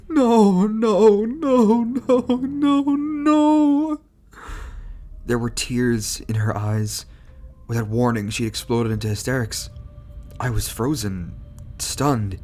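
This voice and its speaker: A man's voice: mid-range voice, darkish tone. Stuffy-voiced man narrating horror. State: stuffy from a cold. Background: music.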